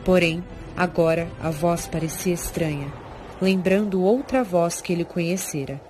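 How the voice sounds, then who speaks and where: A woman narrates a fantasy tale in a fairly low voice with a Brazilian accent. The delivery is scripted and dramatic, with prominent music underneath. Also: moderate pace.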